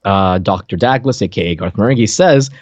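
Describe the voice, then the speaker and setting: A man's voice: on the low side, a little fast, no room sound, lo-fi sound. A man co-hosting a podcast, casually commenting on a TV comedy in an amused, explanatory way. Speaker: podcast co-host.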